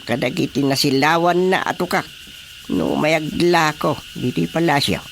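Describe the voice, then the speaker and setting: An actor playing a concerned frog king and father, speaking deliberately in a Filipino radio drama. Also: fairly high pitch, gravelly, fairly bright tone, slight background noise.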